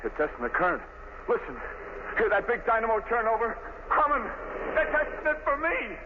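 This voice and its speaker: Doomed young convict, high, volatile, tinny vintage radio sound. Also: male voice, wildly swooping pitch, moderate pace, exaggerated voice. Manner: swings from hushed dread to shouting. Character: young condemned male killer, desperate and bitter. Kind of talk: 1930s radio drama acting. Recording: tinny old radio broadcast, faint hiss.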